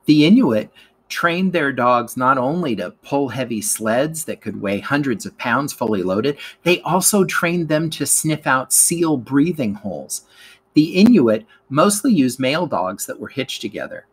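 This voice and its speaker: A man's voice: medium pitch, big pitch swings, measured pace, slightly rough. Male narrator, mid-range voice, teacher reading scripted classroom material aloud.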